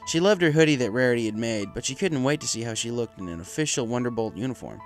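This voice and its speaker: A man with a mid-pitched voice narrates a scripted story. He reads as the narrator of fan fiction, with music playing faintly underneath. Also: expressive, fast. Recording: music behind the voice.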